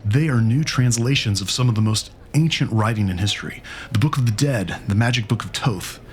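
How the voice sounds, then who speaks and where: A man's voice: mid-range voice, a little fast, natural voice. An earnest male history teacher , played by an actor, lectures teenagers in a mid-range voice. His delivery is scripted and explanatory, in a comic dub with faint noise behind it. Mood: enthusiastic. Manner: didactic, explanatory.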